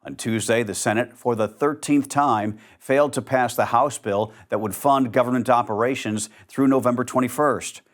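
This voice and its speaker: Measured male news anchor. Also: mid-range voice. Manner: measured, even newsreader delivery. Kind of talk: scripted news narration.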